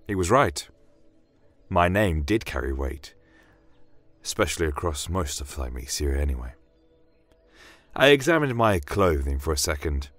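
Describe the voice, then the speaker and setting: A man giving a solemn, measured, scripted dramatic narration of a fantasy tale in the first person. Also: very deep voice, sing-song, a bit bright, no room sound, soft background music.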